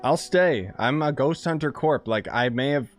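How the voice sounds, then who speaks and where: A man's voice: mid-range voice, normal speed, soft background music. Sarcastic man joking over gameplay. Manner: joking, sarcastic, chuckling asides. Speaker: gaming streamer. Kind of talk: casual let's-play commentary. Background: game audio.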